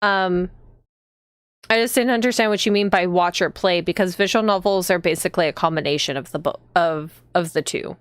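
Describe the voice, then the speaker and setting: A woman hosts a casual live stream in a fairly low, very clear voice. The sound is dry, with almost no room to it. She talks informally, straight to her viewers. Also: fairly quick, dry recording. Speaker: streamer and host.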